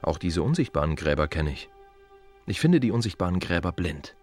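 An actor playing a plain-spoken, reflective gravedigger in a radio monologue, musing in short, colloquial, repeated fragments. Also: male voice, sits low, very animated, a little fast, darkish tone, soft background music. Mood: solemn. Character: plain-spoken, reflective male city gravedigger at peace with work.

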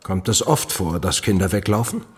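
A man playing an earnest period investigator who narrates his own case in a measured, literary audio drama style. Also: low voice, lively intonation, slightly rough. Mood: earnest, formal.

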